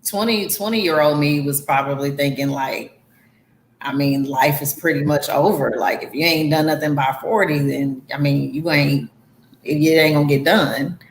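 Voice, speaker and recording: A woman's voice: sits low, steady tone, normal speed, echoey room, slight background noise. A woman in her forties, a guest in a casual chat, speaking candidly and plainly about her life. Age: 40s.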